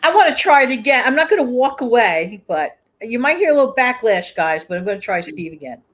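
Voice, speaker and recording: A woman's voice: on the high side, sing-song, brisk, a bit bright. Chatty woman hosting a casual show. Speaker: show host. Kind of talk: casual livestream chat.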